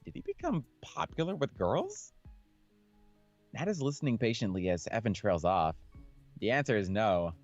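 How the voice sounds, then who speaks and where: A man's voice: lowish voice, very animated, normal speed, long pauses, lo-fi sound. A man doing a casual, jokey playthrough, reading a visual novel aloud and commenting in slang. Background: background game music.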